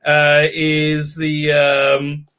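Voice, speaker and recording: An excitable man hosts a casual online chat, tripping over his words as ideas rush out. His voice is bright and tense, sitting a little high. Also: drawn-out words, clear, resonant tone. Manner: excitable, stammering restarts. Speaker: show host. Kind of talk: casual video-call chat.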